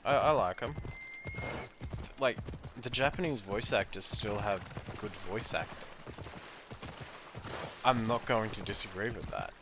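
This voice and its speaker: A man's voice: on the low side, measured pace, long pauses, noisy background. A man giving casual gameplay commentary, ranting in an opinionated, profane way. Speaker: gaming commentator. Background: loud game music.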